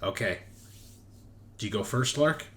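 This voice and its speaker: A man's voice: on the low side, expressive, a little slow. A man acting as game master, narrating a tabletop roleplaying game in a casual, profane, bantering way. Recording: music behind the voice. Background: background music.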